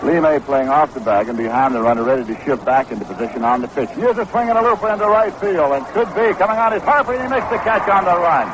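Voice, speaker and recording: A man's voice: a little high, expressive, fast talker, few pauses. Noisy vintage radio baseball announcer, American man. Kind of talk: 1960s radio sports commentary.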